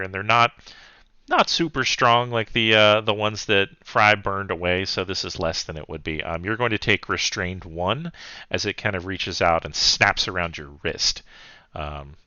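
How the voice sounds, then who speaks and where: A man's voice: lowish voice, gravelly, pushed and bright, clean tone, dry recording, muffled recording. A man improvising casual narration and rulings as the game master of a tabletop roleplaying game.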